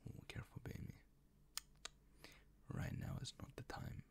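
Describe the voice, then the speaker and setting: A man playing a doting, gently dominant boyfriend in an intimate ASMR roleplay, speaking in a soft, coaxing, reassuring way with a breathy, gravelly whisper. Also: moderate pace, natural voice. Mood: teasing, soothing. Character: doting, gently dominant, teasing boyfriend comforting his partner.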